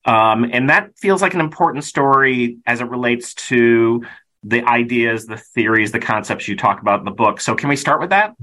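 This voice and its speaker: A man hosting a podcast, reading an intro and then asking interview questions in a measured way. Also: medium pitch, sharp tone. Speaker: podcast host.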